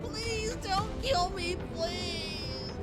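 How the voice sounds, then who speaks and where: A man's voice: very high-pitched, extremely slow, heavily acted. An actor playing a self-pitying, delusional male internet troll and grand wizard in a theatrical, whiny game performance. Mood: whimpering, begging. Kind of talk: exaggerated video game cutscene acting. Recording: loud music behind the voice. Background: music.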